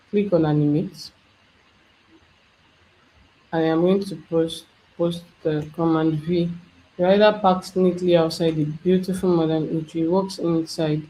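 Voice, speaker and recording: An instructor talking through a software tutorial step by step, thinking aloud as they work. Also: mid-range voice, low-quality recording. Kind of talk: screen-share software tutorial.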